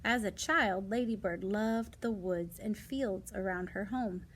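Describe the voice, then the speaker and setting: A woman's voice: medium pitch, unhurried, a bit bright, slight background noise. A woman reading non-fiction picture books aloud to young children, adding casual asides, simple explanations and questions to the listeners.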